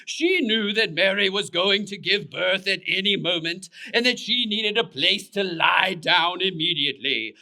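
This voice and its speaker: A man narrating a scripted children's puppet show story in an expressive, deliberate way. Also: high voice, creaky.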